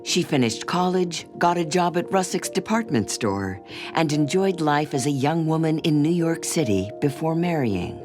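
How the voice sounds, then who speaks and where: A documentary narrator reading a scripted story in a measured, expressive delivery, with music playing behind. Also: medium pitch.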